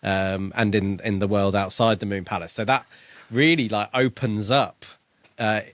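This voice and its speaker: A man co-hosting a podcast, discussing a book casually in a measured, reflective way. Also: fairly low pitch, steady tone, a bit bright, no echo. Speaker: podcast co-host.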